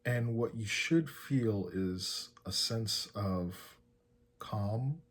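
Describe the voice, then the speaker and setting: A man leading a guided meditation in a soft, breathy, husky voice, giving calm and gentle instructions with long pauses. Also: on the low side.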